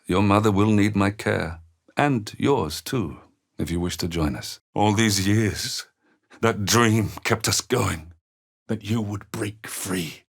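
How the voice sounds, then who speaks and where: Weary gentle old dad in a fantasy game, deep voice, really slow, halting, solemn and tender, very devout. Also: male voice, gravelly, exaggerated voice. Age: older. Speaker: video game voice actor. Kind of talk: dramatic video game character dialogue.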